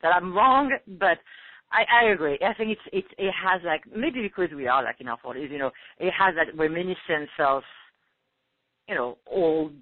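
A woman's voice: lowish voice, expressive, normal speed, dry recording. A woman in her forties from France speaks with a French accent, her voice rising and falling animatedly and carrying some husk. The sound is dry and thin, like a phone line, and she leans on "you know" and "like" as she talks. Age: 40s. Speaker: guest. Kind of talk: casual chat.

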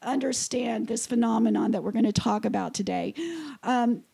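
A middle-aged woman co-hosting a casual podcast, raspy and hoarse from a cold, chatty with frequent laughs and fillers. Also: a little high, normal speed, dark tone. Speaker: podcast co-host.

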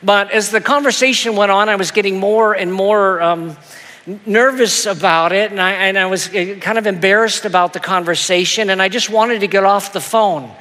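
A male pastor telling a story in a sermon, with a soft, soothing voice and a gentle, self-deprecating manner that stumbles into little repeats. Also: sits high, moderate pace. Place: church auditorium. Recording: long hall reverb.

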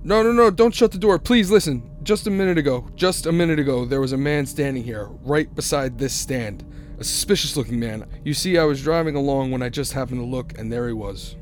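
An actor playing a haunted, anxious young driver in a radio drama, narrating earnestly and stammering when agitated. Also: male voice, mid-range voice, brisk, lightly acted. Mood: nervous pleading. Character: haunted, anxious young male cross-country driver narrating his ordeal.

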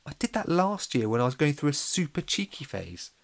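A man voicing a cheeky, bold schoolboy in a children's storybook, with excitable, slangy speech. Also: a little high, a little fast, pitched above the performer's own voice. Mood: eager.

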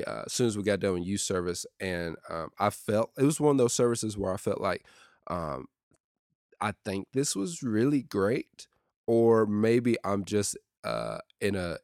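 A youth pastor with a deep voice, talking in a casual solo podcast with lots of ums and uhs. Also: male voice, unhurried, clear, resonant tone, no echo, studio quality. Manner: steady and conversational with many ums and uhs.

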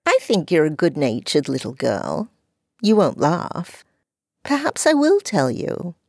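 A woman's voice: deep voice, wildly swooping pitch, a little slow, a little mellow, husky, dry recording, clean studio sound, exaggerated voice. Woman doing a pompous, fussy schoolboy. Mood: grudging. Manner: sententious, stiff and fussy. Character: pompous older man trapped as a schoolboy. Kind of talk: audiobook character voice.

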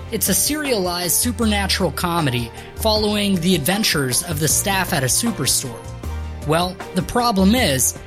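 A woman reading a scripted podcast promo as host, over a music bed. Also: mid-range voice.

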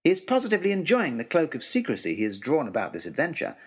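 Male storyteller for period adventure diary: expressive, formal diction, very lively intonation, slightly rough-edged tone. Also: fairly high pitch, quick pace. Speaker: storyteller, first-person narrator. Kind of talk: scripted adventure-journal narration.

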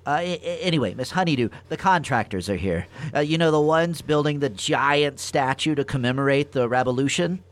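A man's voice: fairly high pitch, lively intonation, normal speed. A man playing a nervous, befuddled boss in a comic radio play, stammering and apologetic. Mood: flustered eagerness. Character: nervous, meek, befuddled male rabbit boss turned underling.

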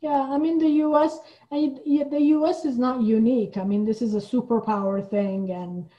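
A woman's voice: fairly high pitch, fairly even intonation, normal speed, dull audio. A woman from Iran speaking thoughtfully and hesitantly as a guest in a conversational interview. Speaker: guest, playwright and theater artistic director.

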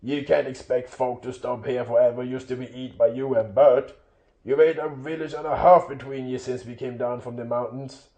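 A big drunken troll from a storybook, acted out by a man, loud and coarse, with rustic dropped-aitch speech. He is dim, suspicious and blustering, and his voice stays dark and rough-mannered. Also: medium pitch, steady tone, moderate pace, natural voice, poor audio. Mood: irritated.

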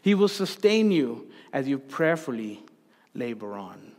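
A man who grew up in Sri Lanka, preaching a sermon to his church, repeating key phrases for emphasis and moving between raised and hushed delivery. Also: mid-range voice, sing-song, measured pace, long reverb tail. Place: church sanctuary.

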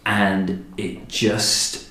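A man's voice: low voice, level tone, very slow, sharp tone. A man in a conversational podcast discussion, talking in a reflective, explanatory way. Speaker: podcast guest or host discussing a production. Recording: roomy, echoey sound.

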